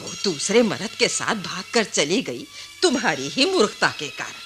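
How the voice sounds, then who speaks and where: A woman's voice: mid-range voice, big pitch swings, normal speed, music in the background, close to an everyday voice. A woman playing a compassionate, chiding old village grandmother in a Hindi radio drama. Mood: reproachful. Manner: emphatic, repeating, chiding.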